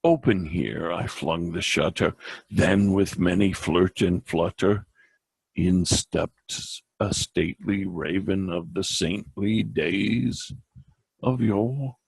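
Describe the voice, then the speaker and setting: A man giving a dramatic recitation of a poem, theatrical and halting, with occasional stumbles. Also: low voice, vocal fry, a little mellow, slightly rough, no room sound, rough recording. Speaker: reader.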